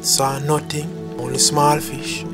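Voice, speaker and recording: A man, calmly narrating his own spearfishing footage in a plain, matter-of-fact voice-over. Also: fairly high pitch, takes it slowly. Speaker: spearfishing vlogger. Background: loud new-age music.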